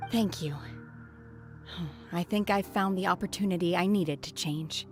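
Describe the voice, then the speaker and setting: A woman playing an aloof, blunt young adept in an anime video game, formal and deadpan. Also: lowish voice, measured pace, faint music behind, close to an everyday voice. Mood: sincere, subdued.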